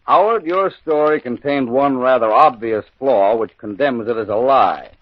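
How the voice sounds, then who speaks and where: An actor playing a polite, skeptical police sergeant in an old-time radio mystery, questioning formally and deliberately. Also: male voice, mid-range voice, no echo, lightly acted. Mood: accusing. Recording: dull lo-fi old radio recording.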